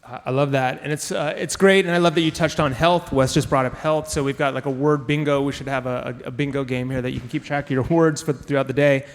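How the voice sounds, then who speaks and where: A man's voice: medium pitch, fairly even intonation, quick pace, hardly any pauses. A man moderating a conference panel in an upbeat, enthusiastic way, asking the guests questions. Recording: long hall reverb.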